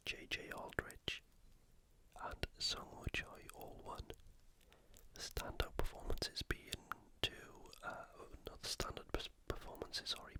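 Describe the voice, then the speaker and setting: An ASMR host speaking entirely in a breathy, unvoiced whisper, giving recap commentary in a deliberate way that often repeats phrases.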